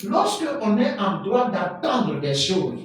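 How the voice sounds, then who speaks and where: A man preaching a sermon as pastor, animated and full of everyday examples. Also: high voice, slow. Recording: echoey hall from far off with music.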